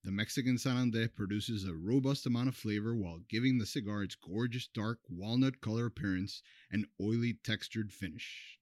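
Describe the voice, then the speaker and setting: A man co-hosting a podcast who reads a smooth scripted ad and chats about drinks. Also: on the low side, dry recording, studio quality. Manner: smooth scripted ad read, then relaxed banter. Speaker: podcast co-host. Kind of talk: read ad, casual chat.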